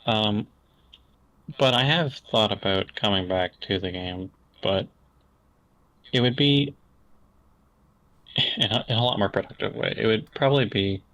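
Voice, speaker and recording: A man's voice: lowish voice, unhurried, long pauses, fairly bright tone, low-quality recording. A young man from Canada, a podcast guest talking in a casual, hesitant way full of fillers and restarts. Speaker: interview guest.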